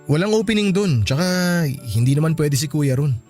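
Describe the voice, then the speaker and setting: A man's voice: a little high, animated, brisk, natural voice. A man playing a meek, apologetic young husband in a radio drama, hesitant and pleading. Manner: hesitant, pleading, soft-hearted. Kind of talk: radio drama acting in dialogue. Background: background music.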